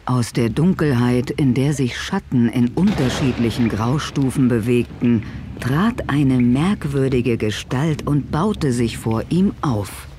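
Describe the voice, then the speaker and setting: A man narrating an audio drama from a script in a measured, literary manner. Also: mid-range voice, lively intonation, noisy background.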